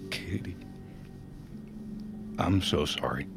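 An actor playing a wounded young survivor in an audio drama, narrating in a grave, brooding, measured way. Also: male voice, vocal fry, loud music behind the voice, exaggerated voice. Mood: somber, tender. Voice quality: very deep.

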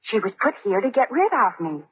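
An actress playing a paranoid, imperious aristocratic wife, clipped and evasive, in old-time radio drama style. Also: female voice, medium pitch, very animated, a little fast, breathy edge, no room sound, phone-quality sound. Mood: paranoid, insistent. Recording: noisy, thin old radio recording.

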